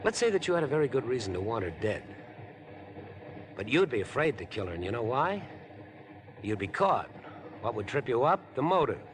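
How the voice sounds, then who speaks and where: Man acting insinuating, animated murder schemer. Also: medium pitch, fairly quick, pauses often, close to an everyday voice. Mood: eager, scheming. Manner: insinuating, overfriendly, wheedling. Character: chatty, ingratiating, obsessive murder-plotting man on a train. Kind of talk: 1950s radio drama acting. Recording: vintage 1950s radio broadcast.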